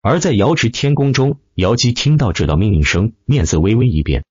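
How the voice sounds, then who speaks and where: Low-pitched male narrator, lively and husky. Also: fairly quick, no room sound, dull audio. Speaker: audiobook narrator. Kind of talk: scripted dramatic web-novel narration.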